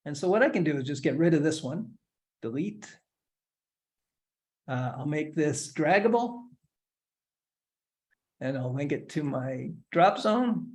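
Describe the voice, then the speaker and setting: A man in his forties giving a relaxed, step-by-step software tutorial with light humor. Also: a little high, unhurried, long pauses. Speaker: instructor giving a software demo. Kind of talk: screen-share tutorial, casual explanation.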